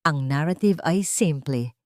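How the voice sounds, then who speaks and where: A woman narrator plays a cold, wealthy matriarch in a story drama. She gives orders with calm, calculated authority and a cutting edge. The voice sits fairly low and is recorded dry and close. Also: measured pace, dry recording. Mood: calculating. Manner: calm but cutting, imperious.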